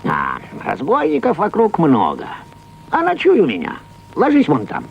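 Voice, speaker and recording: An actor voicing a greedy, bad-tempered rich landowner in an animated film, blustering and muttering. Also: male voice, fairly high pitch, moderate pace, vocal fry, dull audio, slight background noise, full character voice. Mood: sly, ingratiating. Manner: blustering, exclaiming, muttering to himself. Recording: music behind an old cartoon soundtrack.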